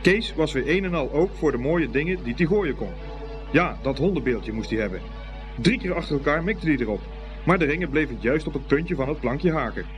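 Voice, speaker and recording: A man's voice: on the high side, lively intonation, brisk, music in the background. A man reading scripted storybook narration as the narrator of a radio play.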